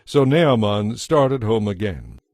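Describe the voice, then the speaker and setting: Low, dry male Bible narrator. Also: very animated, takes it slowly, a little mellow, no echo. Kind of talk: scripted dramatized Bible narration. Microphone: close, dry studio microphone. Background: faint music.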